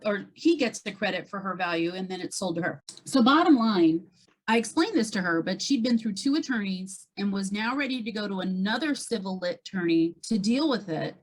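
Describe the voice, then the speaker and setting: A woman's voice: medium pitch, poor audio. A woman speaking casually as a real estate agent, laying out a case to a coach. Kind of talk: casual conversational case recounting.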